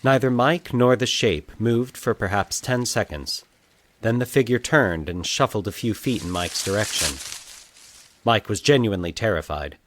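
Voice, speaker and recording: A man narrating a scripted fiction story in a measured, dramatic storytelling manner. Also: lowish voice, a bit bright, slight background noise.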